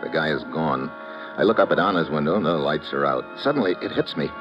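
An actor playing a hard-boiled male detective who narrates his own case, talking in a clipped, wry and steady 1940s radio-drama manner. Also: extremely low pitch, fast talker. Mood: tense narration. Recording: dull old radio broadcast with music score.

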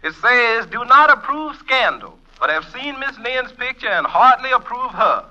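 A man playing an artless, excitable household servant, folksy and naive, in 1940s radio comedy style. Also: very high voice, normal speed, heavily acted. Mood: eager, innocent. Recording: dull old radio recording.

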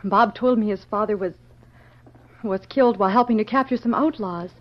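A woman's voice: medium pitch, fairly quick, close to an everyday voice. A young woman playing a polite, sweet bride-to-be in an old radio drama. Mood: gentle, curious. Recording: muffled old radio recording.

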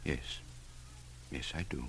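An actor playing a devoted, anxious old-time servant in a vintage radio drama, halting, earnest and deferential. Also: male voice, fairly bright tone, slight background noise. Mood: subdued, surprised. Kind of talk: 1940s-50s American radio drama acting.

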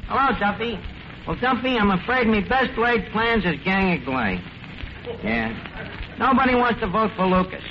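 An actor playing a wisecracking, scheming tavern manager with a New York accent, slangy and sarcastic, in 1940s radio comedy style. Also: male voice, sits high, lively intonation, normal speed. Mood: cajoling. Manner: sardonic, malapropism-prone, slangy. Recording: muffled, hissy 1940s radio recording.

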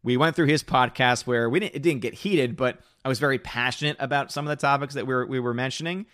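Slightly hoarse man chatting on stream. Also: medium pitch, quick pace, fairly bright tone, dry recording, studio quality. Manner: rambling, restarts and reads chat aloud. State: slightly hoarse, losing his voice. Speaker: live streamer. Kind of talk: casual live-stream chat reading comments.

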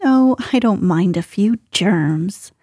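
A woman's voice: mid-range voice, expressive, slow, warm tone, slightly rough, dry recording, clean studio sound. A woman voicing a cheeky, mischievous teenage girl who talks in a playful, teasing way.

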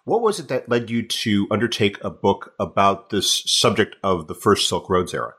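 A man hosting a history-book podcast, asking his guest questions in a calm, polite way. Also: fairly low pitch, normal speed, husky. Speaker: podcast host. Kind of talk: podcast interview.

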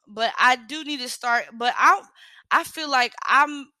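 A woman's voice: on the high side, bright, forceful tone, no room sound. A young woman hosting a livestream, reading self-reflection questions aloud and answering them frankly in casual chat. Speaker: livestream host.

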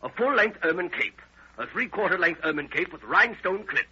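An actor playing an indignant, self-important doctor who makes huffy demands in an old radio drama. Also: male voice, high-pitched, animated, a little fast, bright, forceful tone, husky, full character voice. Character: indignant, demanding, pompous doctor reporting a burglary. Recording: dull vintage radio recording.

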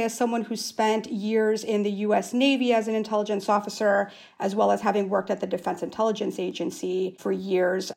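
An American woman giving analytical commentary as an expert interview guest, phrasing things formally and deliberately. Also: mid-range voice, creaky, darkish tone. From United States, born in Afghanistan. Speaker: expert guest, former naval intelligence officer.

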